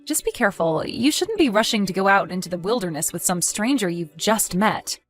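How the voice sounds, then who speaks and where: Woman acting caring, cautious wife, medium pitch. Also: animated, a little fast, soft background music, close to an everyday voice. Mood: surprised, wary. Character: caring, cautious wife, practical and gently nagging. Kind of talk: audio drama dialogue.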